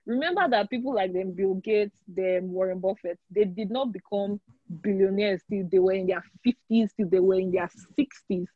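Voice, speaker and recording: Podcast guest: young woman, Nigerian accent, husky voice, reassuring and emphatic, on dry, slightly dull audio. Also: mid-range voice, moderate pace, no echo. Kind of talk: conversational advice in an interview.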